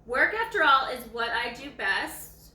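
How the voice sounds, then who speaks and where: Woman reading as a lazy old hound dog, high-voiced. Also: very animated, unhurried, pushed and bright, roomy sound, distant mic, exaggerated voice. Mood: self-important. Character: lazy, self-important old male ranch hound dog.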